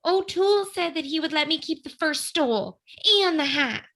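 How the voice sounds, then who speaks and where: A woman's voice: high voice, lively intonation, normal speed, a bit bright. A woman playing a haughty, jittery female burglar with grand, affected diction in a comic radio play. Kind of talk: comic old-time radio play acting.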